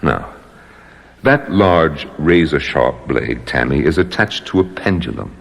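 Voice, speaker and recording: Cavernously low villain, courteous menace, measured threats, long hollow reverb, vintage radio drama. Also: male voice, animated, vocal fry, heavily acted. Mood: sinister relish. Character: coldly polite, menacing male villain interrogator. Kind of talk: old radio drama acting.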